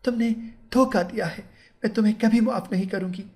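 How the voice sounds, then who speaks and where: A man's voice: high-pitched, moderate pace, warm tone, pitched above the performer's own voice, lightly acted. A man voicing a bitter, furious young bride in an audio story. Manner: emotional, accusing.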